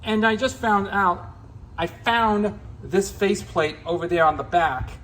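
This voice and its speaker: A man giving a casual, unscripted hands-on demonstration as a gear hobbyist, in a halting, repetitive, rambling way. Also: high-pitched, lively intonation, fairly bright tone, slight background noise.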